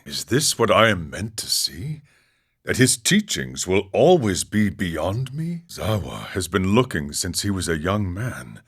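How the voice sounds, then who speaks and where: Deep-voiced, solemn warrior monk. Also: male voice, a bit bright, exaggerated voice. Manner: measured, philosophical, speaks of himself in third person. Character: grave aging warrior monk, proud yet regretful seeker. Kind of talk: scripted video game character dialogue.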